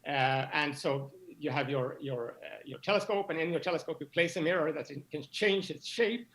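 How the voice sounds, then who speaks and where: A man explaining science as an interview guest, halting and often repeating words as he goes. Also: a little high, fairly bright tone, low-quality recording. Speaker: astronomy professor, interview guest.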